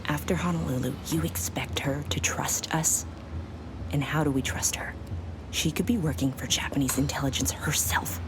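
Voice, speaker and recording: A woman's voice: deep voice, fairly even intonation, normal speed, whispered, lightly acted, low-quality recording. An actress playing a wary, distrustful woman agent with curt delivery in an audio drama. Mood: suspicious, hushed. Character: guarded, distrustful married Chinese American woman agent. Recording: music under the dialogue.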